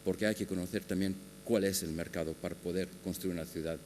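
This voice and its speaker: A man giving a conference talk on a panel, speaking haltingly with many filler sounds and restarts. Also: low-pitched, steady tone, warm tone. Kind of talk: conference presentation. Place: large conference hall. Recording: long hall reverb with faint hum.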